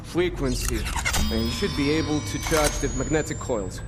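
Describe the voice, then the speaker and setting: An actor playing an earnest inventor in a video game, a non-native English speaker who hesitates and searches for words. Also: male voice, mid-range voice, moderate pace, heavy background noise, close to an everyday voice. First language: not English. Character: halting, earnest inventor explaining his powerful gadgets. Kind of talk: video game cutscene acting. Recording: long reverb, loud music behind.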